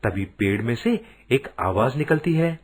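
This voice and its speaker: A man's voice: medium pitch, animated, measured pace. A man narrating a scripted children's moral story.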